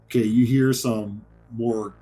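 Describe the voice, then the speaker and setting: A man's voice: medium pitch, steady tone, slow pace, mellow, faint music behind. A man narrating a tabletop horror game as game master, improvising descriptions in a casual way.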